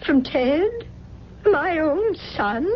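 A woman's voice: high-pitched, lively intonation, very slow, heavily acted. An actress playing a proud, anguished older mother in a radio drama, protesting with open emotion. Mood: anguished denial. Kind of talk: 1970s radio drama acting. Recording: dull, noisy 1970s radio recording.

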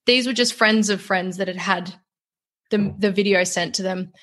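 A woman's voice: mid-range voice, fairly even intonation, normal speed, a bit bright. An Australian woman, a young adult, talking openly and earnestly as a guest on a podcast interview. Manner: talkative, earnest, open.

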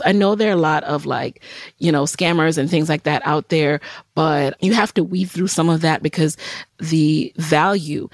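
A woman's voice: on the low side, dry recording. Woman in her thirties, from Jamaica, podcast host, candid chatty talk, dry close mic. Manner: chatty, candid, many fillers and restarts. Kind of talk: solo podcast update, casual chat.

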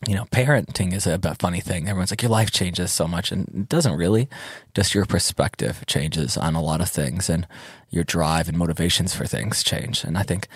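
A young adult man, a DJ, speaking in a relaxed interview, laughing often as he tells stories with animated quoting. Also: low voice, moderate pace, few pauses, slightly rough, no echo, studio quality. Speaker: DJ and interview guest.

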